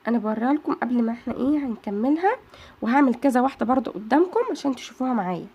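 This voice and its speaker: A woman's voice: fairly high pitch, lively intonation, very fast, highs cut off, slight background noise. A woman with an Egyptian Arabic accent hosting a chatty craft tutorial and talking straight to her viewers. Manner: chatty, addresses viewers as girls. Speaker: craft channel host.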